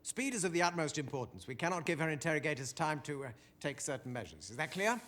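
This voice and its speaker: An actor playing a commanding, urgent Allied officer in a military video game, speaking in clipped, formal phrases. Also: male voice, fairly high pitch, sing-song, fast, a bit bright. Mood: grave, urgent. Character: urgent, commanding male Allied army officer briefing a general.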